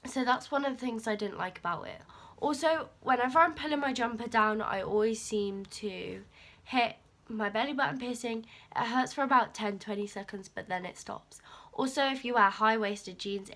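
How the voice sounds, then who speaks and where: A teenage girl, chatting casually to camera in a vlog and rambling with fillers, her voice a bit croaky from a cold. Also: medium pitch, a bit bright. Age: teens. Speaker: vlogger.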